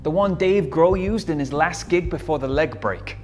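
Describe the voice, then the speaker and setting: A man's voice: on the high side, normal speed, a little mellow, faint music behind, close to an everyday voice. Man playing an eager young rock fan.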